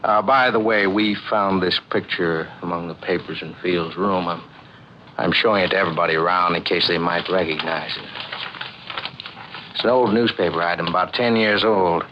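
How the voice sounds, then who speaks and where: An actor playing a polite, hesitant police detective in a 1940s radio drama, folksy and quietly probing. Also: male voice, sits low, lightly acted. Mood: politely probing. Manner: hesitant, folksy, unhurried questioning. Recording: muffled old radio recording, faint noise.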